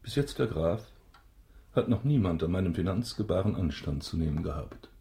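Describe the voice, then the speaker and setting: Mellow, pompous banker baron, roomy sound. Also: male voice, deep voice, animated, moderate pace. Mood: stiff, wary. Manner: formal, pompous, deferential. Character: self-important banker baron, stiffly obsequious to a richer client. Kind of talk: German radio drama acting.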